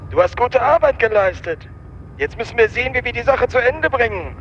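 A man's voice: extremely high pitch, animated, brisk, slight background noise. A man acting a taunting, menacing villain in a radio crime drama, mocking, through a muffled phone-like effect. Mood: mocking menace.

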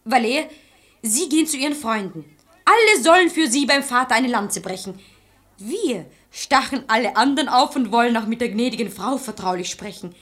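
Shrewd maid, fairly high voice, brisk, commanding, exasperated, verse comedy radio play. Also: female voice, expressive, a bit bright. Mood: scheming, brisk. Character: sharp-tongued, resourceful maidservant, bossy matchmaker of quarrelling lovers.

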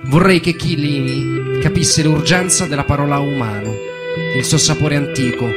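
A man's voice: a little high, normal speed. A man delivering an emphatic theatrical monologue, built on incantatory repetition, as a stage narrator. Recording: loud acoustic guitar accompaniment. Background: plucked guitar music.

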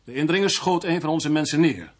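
An actor playing a fat, domineering, irritable security official in a radio drama, curt and impatient. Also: male voice, a little high, fairly quick, crowd noise, close to an everyday voice.

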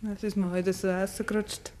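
A woman's voice: medium pitch, little pitch movement, moderate pace, darkish tone, lightly acted. An actress playing a plain-spoken, caring young farmhouse woman with a Bavarian accent in a 1950s dialect radio play. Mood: quiet, tender. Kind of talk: 1950s German dialect radio drama acting.

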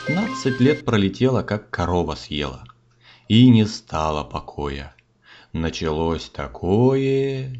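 A man's voice: sits low, sing-song, slow pace, a little mellow. A man narrating a comic fairy tale in folksy, theatrical rhyme. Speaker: storyteller, narrator. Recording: slightly dull audio, music behind.

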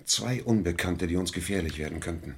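An actor playing an edgy, resentful accused man with a husky voice in a crime radio play. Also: sits low, a little fast, exaggerated voice. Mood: wary.

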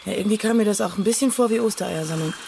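A woman's voice: medium pitch, rapid-fire, close to an everyday voice. An actress playing a brash, mocking, blunt-spoken woman in a German radio crime drama. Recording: clearly noisy outdoor scene ambience.

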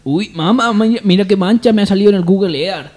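A man's voice: high voice, a little fast, darkish tone. A man playing a naive son chatting to his mother in a comedy sketch. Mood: puzzled. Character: naive son puzzling at computer, casual.

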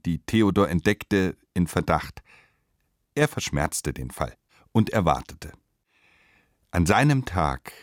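A man narrating a radio play in a measured, deliberate way, reading literary prose. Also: low-pitched, dry recording, studio quality.

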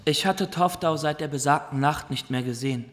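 A man's voice: on the high side, brisk, natural voice. An actor playing an earnest young Somali graduate turned pirate, telling his story formally and directly to the listener in a radio play. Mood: solemn, earnest.

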